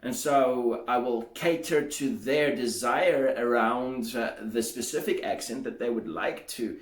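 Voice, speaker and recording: A man teaching English pronunciation in a measured, explanatory way, sounding out examples aloud. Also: medium pitch, reverberant. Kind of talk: solo teaching monologue.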